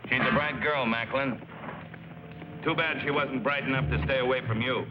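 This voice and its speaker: A man's voice: medium pitch, fairly even intonation, moderate pace, very noisy, natural voice. Menacing film noir gangster played by a man, muffled soundtrack. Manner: taunting, threatening. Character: menacing, jealous male gangster holding a grudge.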